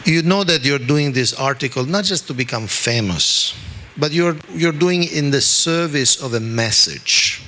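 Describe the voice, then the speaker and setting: A man from Italy with an Italian accent, giving a scripture class in an animated, self-deprecating way, often checking in with "okay?". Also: fairly high pitch, unhurried, a little hiss or hum. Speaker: spiritual teacher.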